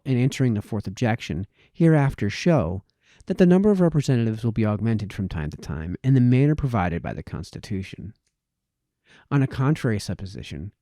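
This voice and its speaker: Guy reading old formal essays out loud, mellow voice, pitch moves around a lot, really dry close sound. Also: no echo. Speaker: reader of historical political essays. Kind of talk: reading aloud formal prose.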